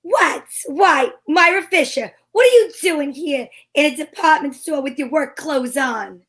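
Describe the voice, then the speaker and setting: Woman doing a breezy 1940s radio lady detective, wisecracking, high bright voice. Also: measured pace. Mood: breezy, teasing. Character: breezy, wisecracking 1940s female private eye.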